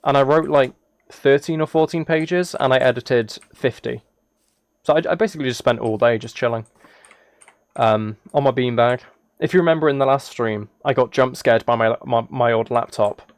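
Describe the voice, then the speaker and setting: A man chatting casually as a live game streamer, musing aloud in a relaxed, low-key way. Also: normal speed, no echo. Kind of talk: casual chat over Minecraft gameplay.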